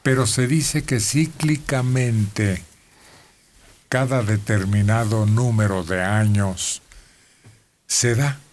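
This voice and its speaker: An older man from Mexico reading news notes slowly at a radio studio table. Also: fairly low pitch, a little hiss or hum. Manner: slow, deliberate reading from paper. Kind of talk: reading news items on air. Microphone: desk microphone.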